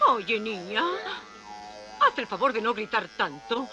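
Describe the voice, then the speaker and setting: A woman's voice: mid-range voice, unhurried, dull audio, noisy background, close to an everyday voice. A woman voicing a haughty, irritable queen bee in a dubbed children's cartoon, fussy and scolding. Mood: irritated. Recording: loud music behind the voice.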